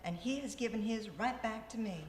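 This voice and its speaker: A woman's voice: fairly high pitch, normal speed, a little mellow, natural voice. A woman playing a dreamy, earnest young farm daughter in a comic radio play, gushing and melodramatic. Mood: earnest, yearning. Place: live on stage in a ballroom. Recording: echoey live hall, faint background noise.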